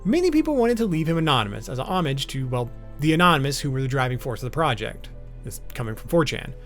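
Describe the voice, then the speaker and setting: A man's voice: mid-range voice, very animated, fast talker, a bit bright, faint music behind. A man narrating an explainer video in an informal, semi-scripted way.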